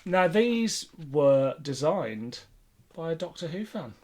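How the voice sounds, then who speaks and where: A man's voice: on the high side, sing-song, takes it slowly, darkish tone. Casual man chatting as podcast co-host. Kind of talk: casual fan chat.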